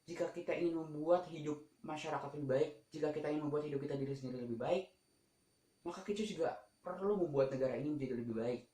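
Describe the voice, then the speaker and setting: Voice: mid-range voice, quick pace, warm tone. A teenage student presenting a school vlog informally and emphatically, often putting questions to the listener. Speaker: student vlogger. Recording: echoey room.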